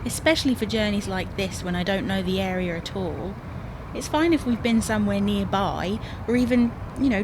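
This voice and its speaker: An actress playing an exasperated, bossy British wife in a domestic comedy audio drama, nagging and insistent. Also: female voice, mid-range voice, lightly acted. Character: exasperated, bossy wife navigating for her husband. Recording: noisy, car ambience.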